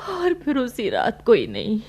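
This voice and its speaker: High-pitched desperate widow. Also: female voice, wildly swooping pitch, measured pace, darkish tone, breathy edge, exaggerated voice. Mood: sorrowful recounting. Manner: pleading, emotional, melodramatic delivery. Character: desperate widowed housewife and mother, pleading and anguished. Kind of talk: Hindi radio play acting.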